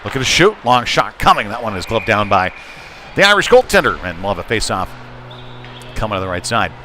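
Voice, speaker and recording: A man commentates a live hockey game with clear noise from the rink behind him. His delivery is conversational, as if thinking aloud while following the play. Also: lowish voice, sing-song, a little fast, pushed and bright. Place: indoor ice rink. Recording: noisy live rink broadcast.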